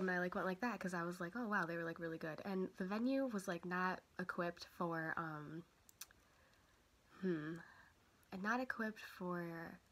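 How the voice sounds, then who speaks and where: A young woman vlogger, a little sick and stuffy, chatting casually and rambling with lots of fillers. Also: on the low side. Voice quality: quiet voice. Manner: rambling, many fillers and self-corrections. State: stuffy nose from a cold. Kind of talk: casual chat.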